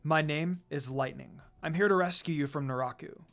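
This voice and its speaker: A man voicing a cool, protective warrior woman who speaks in a steady, reassuring, matter-of-fact way. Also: fairly high pitch, moderate pace, voice pushed down. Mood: determined.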